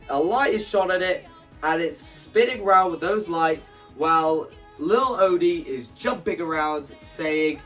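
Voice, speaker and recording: Male video creator, fairly high voice, casual enthusiastic explainer with emphatic repeats, faint guitar music underneath. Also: fairly bright tone. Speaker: video creator presenting own stage play. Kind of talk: casual explanatory vlog monologue.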